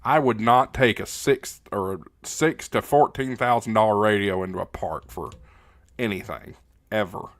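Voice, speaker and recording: A man's voice: lowish voice, measured pace, a bit bright, no room sound. A man hosting a casual live Q&A, reading out viewer comments and answering in a folksy, plain-spoken way. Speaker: livestream host.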